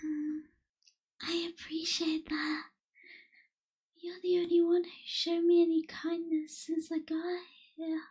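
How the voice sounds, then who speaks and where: A gentle young female vampire, acted by a woman for an ASMR roleplay, with an extremely high, girlish voice that stays soft and breathy. She speaks very slowly and tenderly, slipping toward a whisper, with little rise or fall in her tone. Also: monotone. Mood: grateful, gentle. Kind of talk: ASMR roleplay, intimate scripted acting.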